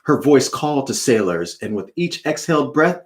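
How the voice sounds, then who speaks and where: Male author-host, mid-pitched voice, casual with listeners and emphatic when performing verse. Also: big pitch swings, normal speed. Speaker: children's author hosting a live read-aloud. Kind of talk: casual chat and expressive poetry reading.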